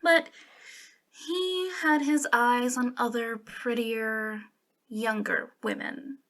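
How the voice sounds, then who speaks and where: Slow, high-voiced coy witch played by a woman. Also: fairly bright tone, full character voice. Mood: indignant. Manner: hesitant, giggly, coyly confiding. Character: centuries-old witch who looks twenties, playfully sinister, coaxing. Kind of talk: ASMR roleplay monologue addressed to the listener.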